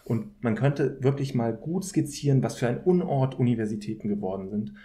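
A man's voice: medium pitch, animated, brisk, dark tone. A man answering interview questions conversationally as a podcast guest, in thoughtful, hesitant, academic phrasing. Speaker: podcast guest, political scientist.

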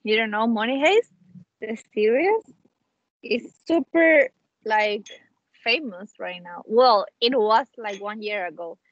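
A woman's voice: a little high, lively intonation, slow, no room sound. A young woman from Chile with a Chilean Spanish accent, speaking English as a second language in a casual chat, often restarting phrases while she hunts for words. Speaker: guest, actress. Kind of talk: casual video-call chat. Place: small room at home, indoors. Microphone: wireless earbuds on a video call. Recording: dull lo-fi call audio.

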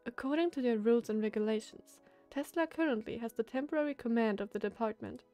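A woman reading a calm, formal young woman scientist in a game, quiet, measured and matter-of-fact. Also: a little high, faint music behind, close to an everyday voice.

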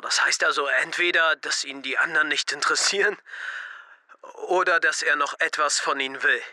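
A man's voice: on the high side, steady tone, normal speed, sharp tone, dry recording, clean studio sound. An actor playing a blunt, defensive teenage skater boy in an audio drama, speaking matter-of-factly. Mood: analytical.

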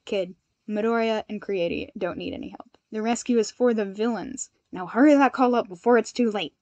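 Woman acting a brisk, bossy veteran dispatcher. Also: medium pitch, mellow, no room sound, highs cut off, lightly acted. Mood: exasperated.

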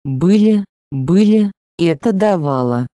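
Mellow voice repeating phrases slow and careful, dry booth sound. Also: mid-range voice, expressive, no echo. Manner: slow, deliberate enunciation. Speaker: Russian phrase reader. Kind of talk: slow scripted phrase reading.

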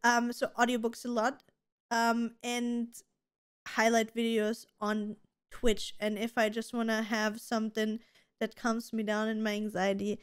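A young woman with a German accent chats casually as a podcast co-host in a gaming room lit with colorful LEDs. Her voice sits a little high, and she talks in an animated way, stringing thoughts together with plenty of "like" fillers. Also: unhurried, smooth. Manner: chatty, animated, frequent "like" fillers. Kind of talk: casual chat.

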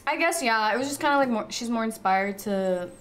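Lively, higher-pitched young woman. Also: a little fast, soft background music. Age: young adult. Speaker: tabletop game player. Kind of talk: casual improvised roleplay chat. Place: indoor studio set.

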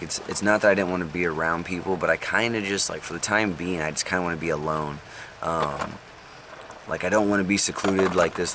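Young guy talking low and loose in his van, lots of ums and likes, faint rain noise behind. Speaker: vlogger. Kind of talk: casual talk to camera. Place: inside a parked van. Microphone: camera close up.

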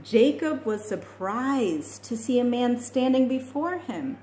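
A woman's voice: fairly high pitch, lively intonation, takes it slowly, dark tone. Young woman reading a storybook, echoey room. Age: young adult. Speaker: children's book reader. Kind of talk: storybook read-aloud. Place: small indoor room at home. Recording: echoey room with faint noise.

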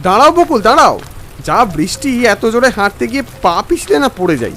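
Man playing an animated, high-pitched earnest storyteller. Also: a little fast, slight background noise, heavily acted. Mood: polite, concerned. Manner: expressive, dramatic, earnest. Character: polite, hesitant townsman recounting a strange afternoon. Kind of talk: scripted story narration with acted dialogue.

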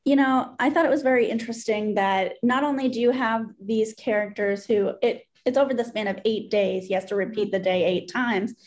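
A woman in her thirties with a fairly high voice talks through a book as a co-host. Her delivery is conversational and loose, peppered with "like," as on an indoor video call. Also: fairly quick, hardly any pauses. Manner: conversational, frequent "like". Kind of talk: casual book discussion. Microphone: video call computer mic.

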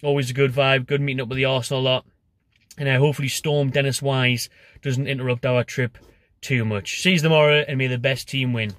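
A man's voice: mid-range voice, fairly even intonation, brisk, fairly bright tone, no room sound. A young man with a Geordie accent gives a casual, chatty football preview to camera from inside a parked car. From North East England. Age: young adult. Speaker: football fan pundit. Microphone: phone camera at arm's length.

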